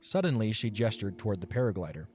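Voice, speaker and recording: A man's voice: fairly low pitch, big pitch swings, quick pace, a little mellow. A man narrating a scripted fantasy audio story as a storyteller. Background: background music.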